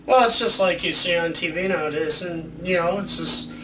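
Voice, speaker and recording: Male co-host, high-pitched voice, informal and rambling, roomy sound with some background noise. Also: fairly even intonation, normal speed, far from the mic. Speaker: co-host of a video show about movie ideas. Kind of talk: casual chat.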